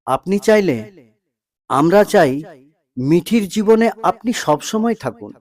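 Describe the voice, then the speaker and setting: Man reading as earnest, grateful parent, highish voice. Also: big pitch swings, takes it slowly, darkish tone, full character voice. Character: grateful, gracious parent of a found daughter.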